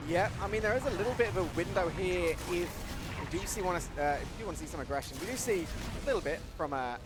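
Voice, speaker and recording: A man with a high voice calls live esports action in excited bursts and quick exclamations. Steady hiss sits under the stream audio. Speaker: esports caster.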